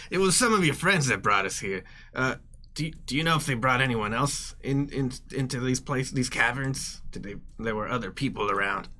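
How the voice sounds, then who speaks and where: A man's voice: mid-range voice, expressive, normal speed, sharp tone. A man playing a tabletop game, asking questions casually and a little tentatively in conversation.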